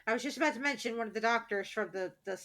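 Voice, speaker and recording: A woman's voice: a little high, rapid-fire, fairly bright tone. A woman co-hosting a podcast, chatting casually in a brash, blunt, jokey way. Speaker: podcast co-host.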